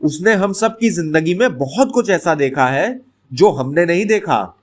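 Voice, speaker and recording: Calm consoling cleric, voiced by a male storyteller. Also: a little high, a little slow. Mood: solemn, consoling.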